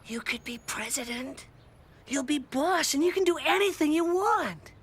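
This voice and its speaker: A woman's voice: high voice, lively intonation, moderate pace. A woman voicing a scheming, self-important little boy in a cartoon, cajoling and declaiming to his pals. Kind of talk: cartoon voice acting. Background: cartoon music.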